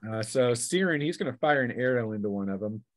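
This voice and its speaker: A man acting as game master, narrating a tabletop role-playing game in a casual, halting way that mixes story with rules talk. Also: mid-range voice, expressive, dry recording.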